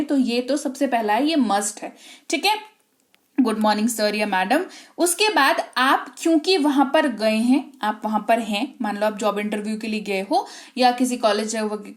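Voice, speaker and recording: A young woman with an Indian accent teaching an English lesson, speaking slowly and emphatically and often checking that her students follow. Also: high voice.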